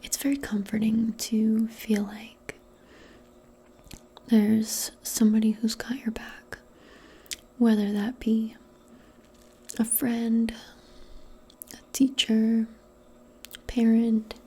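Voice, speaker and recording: A woman doing a soft-spoken ASMR roleplay, mostly in a breathy whisper, with a gentle, reassuring and hesitant way of talking. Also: on the high side, completely flat delivery, slow, pauses often.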